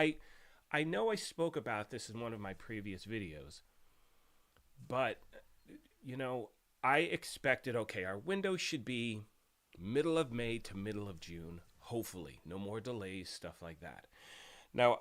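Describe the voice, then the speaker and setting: A man hosting a gaming live stream, talking casually and greeting viewers by name, a bit raspy while getting over a cold. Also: medium pitch, fairly bright tone. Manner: chatty, greets viewers by name, rambling restarts.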